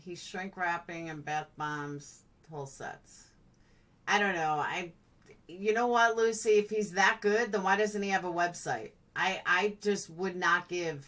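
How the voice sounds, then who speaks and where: A woman's voice: fairly low pitch, measured pace, fairly bright tone, far from the mic. A woman live-streaming in a blunt, chatty, playful way, casually answering comments as they come in.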